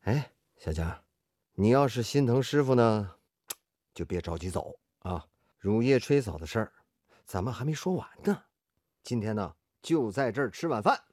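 A man's voice: medium pitch, lively intonation, moderate pace, long pauses, a little mellow, no room sound, clean studio sound, close to an everyday voice. A male actor playing a stubborn, inventive steel-mill technician and mentor in a Chinese radio drama. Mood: good-humored, strained.

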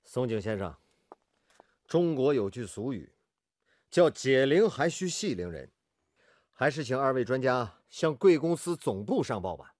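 A man's voice: medium pitch, sing-song, unhurried, long pauses, darkish tone, no echo, clean studio sound. An actor playing a firm, capable steel plant foreman in a radio drama, decisive and polite.

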